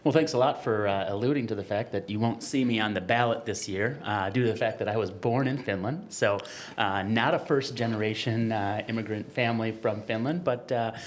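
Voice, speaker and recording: An American man with a lowish voice talks casually as a podcast co-host. His phrasing is informal and halting, and a faint ambient noise sits behind him. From United States, grew up in Wisconsin. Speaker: podcast co-host and golf business co-founder. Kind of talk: casual chat, telling own story.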